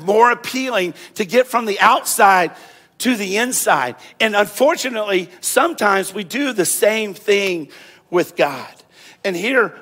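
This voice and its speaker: Man preaching in a reverberant hall. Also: high voice. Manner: conversational preaching with repeated phrases, growing animated. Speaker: pastor. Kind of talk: sermon.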